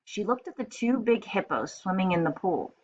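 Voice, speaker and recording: A woman reading aloud to children as a teacher, expressive and explanatory, in a slightly muffled recording with faint noise. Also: mid-range voice, moderate pace.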